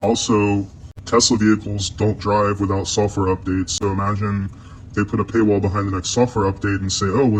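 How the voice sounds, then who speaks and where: A man's voice: on the low side, slight background noise, poor audio. A man from the United States explaining examples in a casual, loosely structured way.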